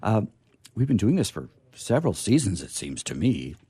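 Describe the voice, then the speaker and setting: A man's voice: fairly low pitch, sing-song, moderate pace, mellow, breathy edge, no room sound. A man hosting a live radio theatre show in a casual, rambling, jokey, folksy way. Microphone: studio microphone.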